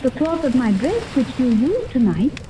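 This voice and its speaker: A woman's voice: high voice, very animated, normal speed. A woman playing an earnest, sheltered young girl in a 1930s radio serial, speaking in formal, precise, literal-minded sentences. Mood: earnest, matter-of-fact. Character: earnest, sheltered young girl raised among cold scientists. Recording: hissy old 1930s radio recording.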